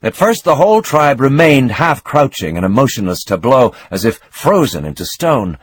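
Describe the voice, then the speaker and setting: Husky, expressive male narrator. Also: mid-range voice, moderate pace. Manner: expressive, dramatic reading with swelling emphasis. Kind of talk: scripted narration of a novel.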